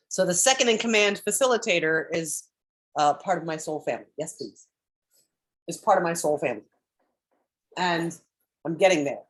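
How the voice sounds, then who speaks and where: Low deep-voiced woman telling a rambly story on a computer video call, lots of restarts and clearing her throat. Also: expressive, normal speed, long pauses. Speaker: spiritual group member sharing updates. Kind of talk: casual chat on a group call.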